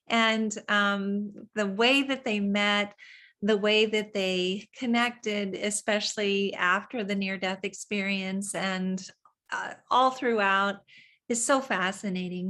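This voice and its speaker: A woman hosts an online talk, introducing her guest at a slow, unhurried pace. Her voice is bright and forward, and she pauses on "um" and "uh" between phrases. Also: medium pitch. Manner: slow, warm-hearted introduction with fillers. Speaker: host.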